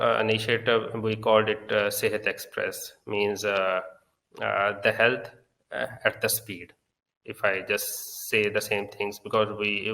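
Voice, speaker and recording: A man with a Pakistani accent giving a conference talk, halting often with long filler pauses. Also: on the low side, sharp tone, poor audio. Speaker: conference speaker.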